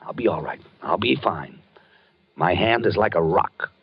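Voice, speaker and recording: An actor playing a haunted, obsessed husband in a 1940s radio drama, stammering and agitated. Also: male voice, low voice, expressive, measured pace, very noisy. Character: obsessed haunted husband, tense and unstable man. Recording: muffled old radio broadcast.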